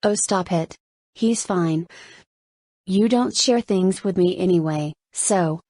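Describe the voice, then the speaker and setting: A woman's voice: lowish voice, takes it slowly, dark tone, dry recording. Woman playing a skeptical girlfriend, dry close sound. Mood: annoyed, skeptical. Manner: plainspoken, challenging. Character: skeptical, exasperated girlfriend, a restaurant worker. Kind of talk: audio play acting.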